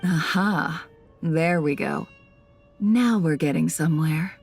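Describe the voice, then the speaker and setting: Game role: guarded female intel broker, cool, teasing, slightly low voice, music underneath. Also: slow pace, darkish tone, husky, full character voice. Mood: cool, probing. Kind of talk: video game voice acting.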